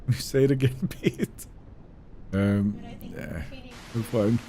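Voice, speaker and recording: A man's voice: mid-range voice, slow, warm tone, a little hiss or hum. A man with Finnish as his first language, streaming a game live and chatting casually as he reads out viewer messages. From Sweden. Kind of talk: casual live-stream chat while gaming.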